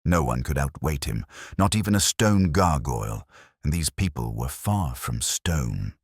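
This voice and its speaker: A man narrating a first-person detective story in a measured, dramatic storytelling manner. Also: extremely low pitch, lively intonation, husky, dry recording, clean studio sound. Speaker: audiobook narrator.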